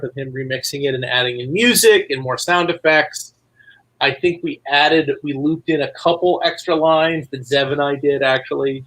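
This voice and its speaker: Male podcast guest, middle-pitched voice, casual conversational delivery. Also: fairly bright tone, rough recording. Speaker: guest, audio drama creator. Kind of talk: casual chat.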